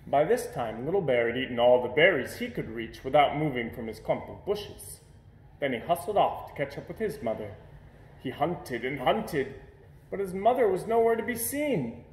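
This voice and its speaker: Male storybook reader, lightly high-pitched, very animated delivery for young children, roomy sound. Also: moderate pace. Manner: expressive storytelling with playful sound words. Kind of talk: children's picture book read-aloud.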